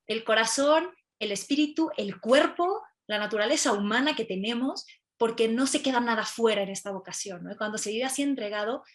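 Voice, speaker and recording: Higher-voiced woman asking questions. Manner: warm, halting, many fillers. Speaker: podcast co-host. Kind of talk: casual interview questions.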